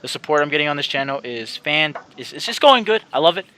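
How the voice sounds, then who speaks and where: A man's voice: fairly high pitch, animated, fast, bright, forceful tone, slight background noise. A man doing casual let's-play commentary as a channel host, rambling and repeating himself as he talks to his viewers.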